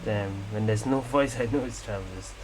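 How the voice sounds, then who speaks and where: A woman's voice: rumbling low, brisk, noisy background. A woman giving casual playthrough commentary as a gaming video creator. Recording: game music behind the voice.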